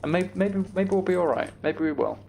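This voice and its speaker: A man's voice: sits high, lively intonation, a little fast, vocal fry, a little mellow, slight background noise. A British man giving casual video game commentary, thinking aloud as he plays. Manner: thinking aloud, mild swearing. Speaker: gamer narrating own play.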